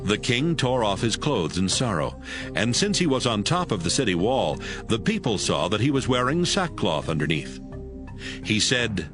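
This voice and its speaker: A male narrator with a slightly low, lively voice reads scripted Bible narration. His pitch moves expressively, and music plays clearly behind him. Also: normal speed.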